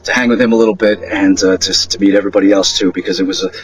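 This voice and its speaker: A man's voice: low voice, steady tone, racing, slightly rough. A man giving an informal thank-you announcement as an event organizer. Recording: slightly dull lo-fi recording.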